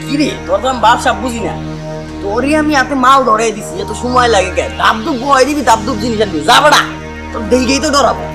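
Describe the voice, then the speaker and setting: A man's voice: extremely high pitch, fast, over music. A man with a Bangladeshi accent playing a menacing, swaggering young gang boss who scolds in colloquial speech in a short-film drama. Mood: bullying, scornful.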